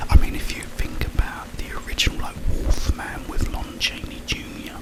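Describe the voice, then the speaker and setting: A man speaking in a breathy whisper, rambling informally through a story in ASMR style. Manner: slow, halting, informal storytelling. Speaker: ASMR content creator.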